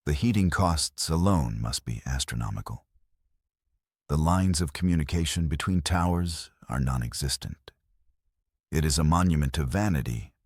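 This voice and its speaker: Storybook role: man playing a cold, calculating general, extremely deep with a rough edge, clipped orders. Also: expressive, normal speed, darkish tone, dry recording, studio quality, exaggerated voice. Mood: disdainful. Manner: terse, commanding, analytical. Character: stern, calculating male military commander Napoleon.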